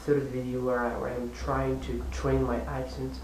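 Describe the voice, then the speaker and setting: A man with a non-native accent talks casually and unscripted as a language-learning vlogger, haltingly repeating words as he searches for phrasing. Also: medium pitch, completely flat delivery. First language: not French or English. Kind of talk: casual unscripted vlog talk. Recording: echoey room, distant mic, faint hiss.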